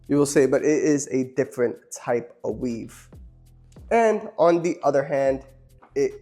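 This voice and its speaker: A man with a cold who sounds nasal, hosting a casual product demonstration beside a car and explaining things in a relaxed, chatty way with frequent ums and uhs. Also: medium pitch. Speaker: host. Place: beside a car, cleaning its windshield.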